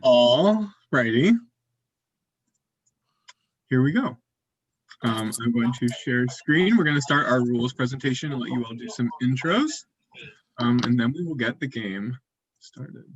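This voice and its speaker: A man hosting an online game on a video call, explaining the rules in a relaxed way with many fillers. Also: mid-range voice, measured pace, long pauses, dull audio. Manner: relaxed, filler-heavy presenting. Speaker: game host.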